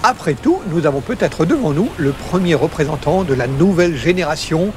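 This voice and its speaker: A man playing a calm, slightly pompous colleague explaining things in an amateur audio drama. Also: on the high side, brisk, noisy background, lightly acted. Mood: wry. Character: wry, composed male colleague who opens the office.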